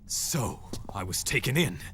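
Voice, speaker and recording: An actor playing a proud, brooding avenger hero in a video game, with formal, intense delivery. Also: male voice, fairly low pitch, very animated, a little slow, fairly bright tone, slight background noise. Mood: angry, accusing. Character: brooding, proud young male avenger hero, hot-tempered. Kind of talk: dramatic video game acting. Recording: music under the voice.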